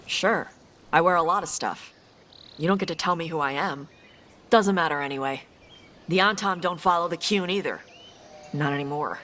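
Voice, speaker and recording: A woman's voice: on the low side, normal speed, long pauses, sharp tone, slight background noise. An actress playing a tough dragon hunter woman in a video game, speaking in a terse, matter-of-fact way. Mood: blunt, guarded. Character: blunt, tough Qunari dragon hunter woman from a seafaring land. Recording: game sound effects over voice.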